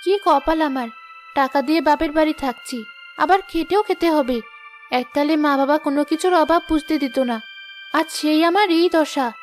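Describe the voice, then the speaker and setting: A woman playing a young cartoon widow with an extremely high voice. She sounds plaintive, dramatic and proud in her sorrow, with music clearly playing behind her. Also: normal speed, lightly acted. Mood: sorrowful, resigned. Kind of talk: Bengali moral-story cartoon voice acting.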